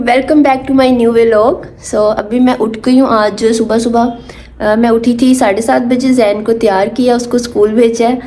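A young woman talks casually into a phone held at arm's length, mixing in English words as she vlogs. Her delivery is even and flat, and her voice is soft and mellow. Faint background noise sits under her voice. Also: a little high. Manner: chatty, mixes in English words; hushed, half-whispered indoors. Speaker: vlogger. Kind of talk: casual vlog chat. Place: home and auto-rickshaw.

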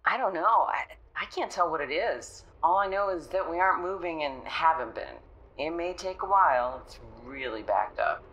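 Low-voiced, apologetic actress as a mother. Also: lively intonation, normal speed, fairly bright tone. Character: apologetic mother stuck in traffic on a phone call. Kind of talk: audio drama phone call.

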